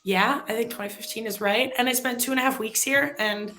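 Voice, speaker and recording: American woman in her thirties, bright and fairly high voice, chatty guest with faint music behind. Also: fairly quick. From United States. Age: 30s. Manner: chatty, self-deprecating, lots of fillers. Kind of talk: casual video chat.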